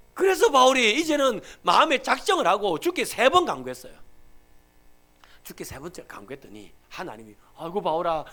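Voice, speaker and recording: A male pastor with a Gyeongsang accent preaching a Sunday sermon, emphatic and swinging from loud to hushed. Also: sits high.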